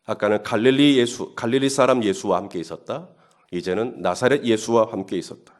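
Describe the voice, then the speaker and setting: A man's voice: lowish voice, brisk. A male pastor preaching a Holy Week sermon. He talks in a conversational way and builds up to emphatic questions. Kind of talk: sermon at early-morning Holy Week service.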